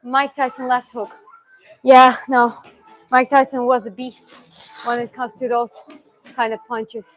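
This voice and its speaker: High-voiced woman with a Georgian accent. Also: flat delivery, a little slow, long pauses, faint music behind, low-quality recording. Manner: chatty, loose, with sound-effect "bum"s and restarts. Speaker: martial arts streamer. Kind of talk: live-stream Q&A and technique demo.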